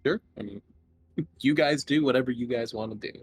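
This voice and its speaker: A man's voice: mid-range voice, normal speed, slight background noise. A man running a tabletop roleplaying game as game master, narrating casually.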